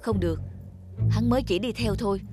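A male actor voices a young married man in a cartoon. He sounds earnest and protective. Music is clearly heard behind him. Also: high voice, brisk, close to an everyday voice. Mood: suspicious. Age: young adult. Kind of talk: cartoon voice acting.

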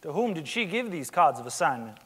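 In a reverberant theatre, a methodical 1890s lawyer played by a young man examines a witness. His fairly high voice is formal and deliberate, in the manner of a dramatic reading. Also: sing-song, breathy edge. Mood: formal, probing. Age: young adult. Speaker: student actor in a staged reading. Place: large theatre stage, indoors. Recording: echoey theatre hall, long reverb.

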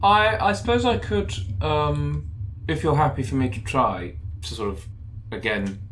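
A man's voice: on the high side, a little slow, a bit bright, a little hiss or hum. Hesitant man roleplaying. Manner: hesitant, stammering. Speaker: player.

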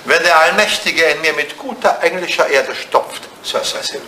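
A devoted manservant with a bright, fairly high voice in a retro radio play. He is deferential yet drily cutting, and the recording sounds roomy. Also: moderate pace. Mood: drily insolent. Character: devoted English butler, formal, dryly insolent, long-serving. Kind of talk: 1980s German radio play acting. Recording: roomy sound with clear background noise.

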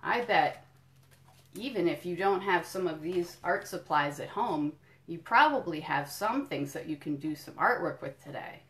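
A woman doing a children's storytime, reading a picture book in deliberate phrases and explaining things simply to young listeners. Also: on the low side, animated, fairly bright tone. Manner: addresses young listeners directly with questions and encouragement. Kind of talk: children's storytime read-aloud with friendly asides.

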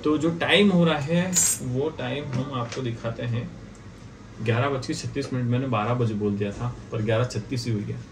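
A man's voice: medium pitch, moderate pace. Indian man, distant and noisy recording. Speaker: video host reviewing products. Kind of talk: casual unboxing and review talk. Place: small indoor office. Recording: distant mic, clearly noisy room ambience.

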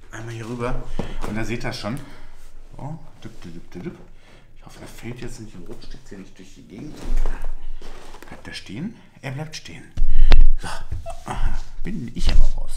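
Brief: upbeat man in his forties, hosting an unboxing vlog on a desk camera, noise audible behind him. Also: medium pitch. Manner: chatty, upbeat, talks while handling a box. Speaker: fishing lure channel host. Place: small indoor room with shelves.

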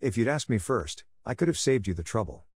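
A man's voice: mid-range voice, expressive, brisk, a little mellow, breathy edge, no echo, studio quality. A man reading scripted example sentences aloud as prompts for a language test.

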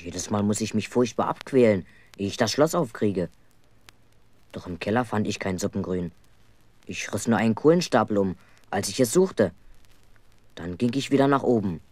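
A man's voice: on the low side, lively intonation, moderate pace, pauses often, darkish tone. An actor playing an earnest, aggrieved schoolboy who tells his own story in a 1970s German children's radio play. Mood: earnest storytelling.

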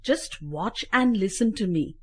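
A woman's voice: mid-range voice, animated, measured pace, darkish tone, slightly rough. A woman voicing a patient, wise female magpie teaching other birds, in a cheerful, instructive way.

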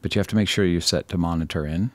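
A man presenting a casual screen-recorded software tutorial, thinking aloud in an informal, self-deprecating way. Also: sits low, quick pace, no room sound.

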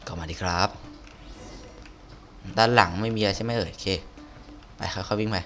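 Bright-voiced man commentating a game. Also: on the low side, normal speed. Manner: exclamatory, slangy, talking to himself. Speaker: gaming video host playing through a game. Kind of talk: casual gameplay commentary.

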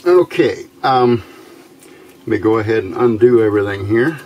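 A man giving a casual hands-on demonstration of homemade gear in an unhurried, halting, explanatory way. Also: fairly low pitch, animated, dull audio. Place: small indoor workbench by a window. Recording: faint background noise.

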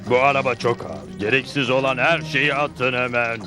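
An actor playing a bossy, short-tempered outlaw leader in a dubbed cartoon, gruff and commanding. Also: male voice, medium pitch, normal speed, over music, close to an everyday voice.

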